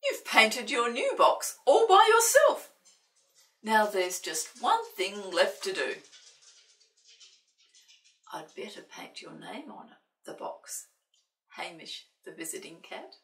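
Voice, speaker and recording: A kindly storybook grandpa played by a woman, hearty and affectionate. The voice is bright and lively in pitch, picked up in a roomy space from a little way off. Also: slow, vocal fry, long pauses, heavily acted. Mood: delighted. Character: friendly, kindly grandpa who fusses over a cat. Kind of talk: storybook character voice. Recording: roomy, distant microphone.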